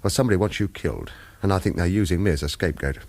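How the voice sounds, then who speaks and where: A man's voice: low voice, racing, darkish tone. An actor playing a wry, wary ex-agent on the run who tells his own story in a terse, hard-boiled radio thriller style. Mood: firm, urgent. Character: retired male agent turned wary hunted fugitive, wry. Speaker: lead character and first-person narrator. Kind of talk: radio thriller acting and narration.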